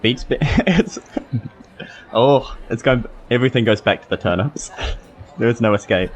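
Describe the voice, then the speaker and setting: A man's voice: medium pitch, unhurried. A man playing a tabletop game in casual, playful banter.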